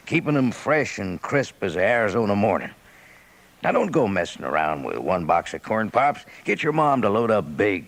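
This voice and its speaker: Cowboy radio pitchman, low gravelly voice, chummy western talk, lively swings. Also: exaggerated voice. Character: Panhandle Jim, folksy old cowboy sidekick pitching cereal. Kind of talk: 1950s radio advertisement.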